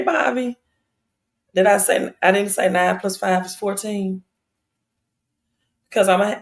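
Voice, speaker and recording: A woman hosting a casual live stream, her voice raspy and hoarse, addressing viewers directly and repeating phrases for emphasis. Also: fairly low pitch.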